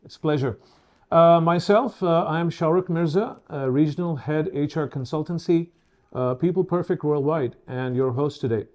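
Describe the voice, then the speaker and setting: A man in his fifties presents as the host of a professional webinar. His voice sits a little high for a man and has a relaxed, mellow tone. He words things formally and hesitates between phrases. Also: normal speed.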